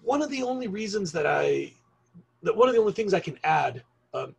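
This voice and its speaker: A middle-aged male teacher talks slowly through a webcam lesson, filling his pauses with ums. His voice sits a little high and sounds rough and raspy, with a gravelly edge. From Argentina. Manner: slow, rambling explanation with frequent ums. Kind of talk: recorded online class lecture.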